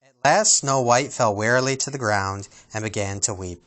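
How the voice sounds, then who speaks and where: A man reading a children's storybook aloud as storyteller, with a dramatic, expressive delivery. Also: on the low side, normal speed.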